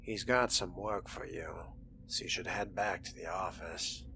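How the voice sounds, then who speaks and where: A man voicing a refined, sardonic fledgling vampire in a video game roleplay, with a deliberate, archly formal manner. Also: low voice, fairly even intonation, creaky, noisy background. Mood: composed, dry. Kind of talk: in-character roleplay narration over a game.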